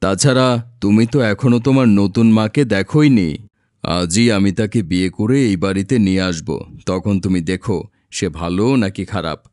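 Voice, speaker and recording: A man playing a gentle, reassuring village father in an animated moral story, explaining in a soothing, measured way. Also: deep voice, smooth, no room sound, clean studio sound, natural voice.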